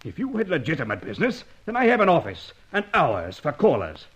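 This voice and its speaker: A man's voice: fairly high pitch, huge pitch swings, fairly quick, fairly bright tone, breathy edge, exaggerated voice. An actor playing an irritable, self-important older British businessman in an old-time radio drama, indignant and spluttering. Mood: stern, blustering. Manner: indignant, spluttering, hesitant starts.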